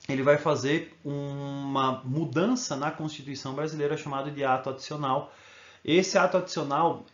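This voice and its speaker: A man's voice: fairly high pitch, echoey room, lo-fi sound. A male history teacher giving a recorded video lesson, explaining in an informal way and often checking in with tag questions.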